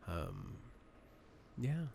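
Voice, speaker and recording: Deep male voice, very heavy vocal fry, soft-spoken gaming ASMR, close mic, casual rambling, noticeable background noise. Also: huge pitch swings, extremely slow. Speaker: streamer playing a video game. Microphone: close USB condenser microphone.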